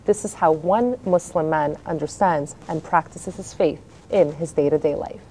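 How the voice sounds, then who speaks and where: A woman reporter reading a scripted news narration in a measured way. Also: sits low, warm tone, slight background noise, poor audio.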